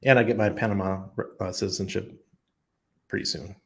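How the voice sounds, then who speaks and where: A man with a fairly low, gravelly voice hosts a casual livestream and answers viewers' chat. He rambles and thinks out loud, full of ums and false starts. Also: fairly even intonation, moderate pace. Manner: rambling, thinks aloud with many ums and restarts. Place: expat living abroad.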